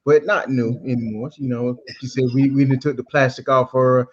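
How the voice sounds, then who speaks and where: A man's voice: medium pitch, steady tone, brisk, muffled recording. A man co-hosting a podcast, talking loosely and repeating himself as he hands over to the guest. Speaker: podcast co-host. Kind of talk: casual podcast chat.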